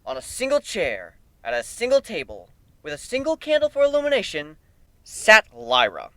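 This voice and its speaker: A man's voice: high voice, wildly swooping pitch, normal speed, sharp tone, no echo. A man giving a theatrical, emphatic dramatic reading of a first-person fantasy story. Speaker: narrator of fan fiction.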